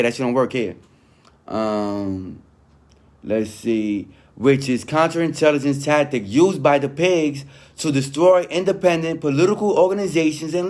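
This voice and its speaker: A man commenting informally while reading aloud from a text, talking in conversational street slang and often checking that the listener follows. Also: medium pitch. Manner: street-slang, conversational, peppered with "you know what I mean". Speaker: online commentator reviewing a written statement.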